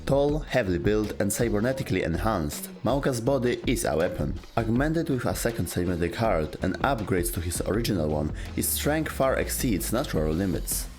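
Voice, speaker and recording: A man narrates a scripted video game lore explainer. His voice sits fairly low, and there is quiet music underneath. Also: expressive, normal speed, hardly any pauses.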